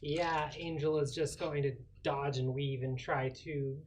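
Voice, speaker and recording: A man's voice: a little high, steady tone, measured pace. A man talking casually as a player describing moves in a tabletop roleplaying game. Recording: noisy home recording with clicks.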